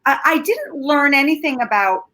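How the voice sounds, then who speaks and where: A woman from Canada whose first language is Ukrainian, giving candid, animated opinions as a film critic. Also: high voice, normal speed. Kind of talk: casual film review chat.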